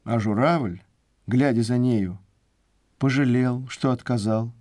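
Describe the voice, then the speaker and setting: A man telling a scripted folk tale in an expressive, theatrical, folksy storytelling manner. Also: mid-range voice, takes it slowly, dry recording, studio quality.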